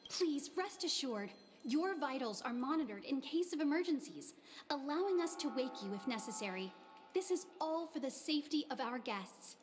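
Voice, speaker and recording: A woman's voice: sits high, animated, normal speed, a little hiss or hum. A woman voicing a polite, formal hotel receptionist in a video game, courteous and precise. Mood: polite, apologetic. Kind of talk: scripted video game voice acting.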